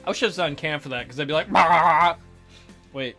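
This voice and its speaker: A woman hosts a visual novel playthrough, moving between narration and joking commentary. Her voice is bright and her pitch moves around a lot, giving a playful, animated feel. Faint music plays behind her. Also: very fast. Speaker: let's play host.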